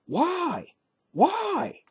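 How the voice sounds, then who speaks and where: A man voicing a shrewd, excitable old fisherman father who cries out in a husky voice. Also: very slow, heavily acted. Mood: excited. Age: older. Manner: shouting eagerly, cries out high.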